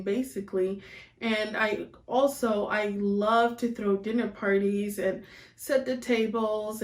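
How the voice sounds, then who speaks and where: Woman chatting about decorating, kinda far from the mic, echoey. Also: on the high side. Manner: chatty, with fillers. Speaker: event decorator. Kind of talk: self-introduction to camera. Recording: echoey room, away from the mic.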